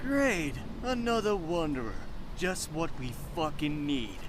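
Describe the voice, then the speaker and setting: A man's voice: a little high, big pitch swings, unhurried, background noise. An actor playing a hostile, foul-mouthed male wasteland gate guard who snarls threats. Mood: snarling. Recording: music behind the voice.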